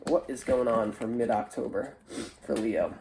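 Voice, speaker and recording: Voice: medium pitch, moderate pace, gravelly, heavy background noise. A tarot reader with a stuffy, nasal sound, talking in a casual, chatty and hesitant way through a spiritual reading. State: stuffy, congested nose. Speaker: tarot reader, online show host.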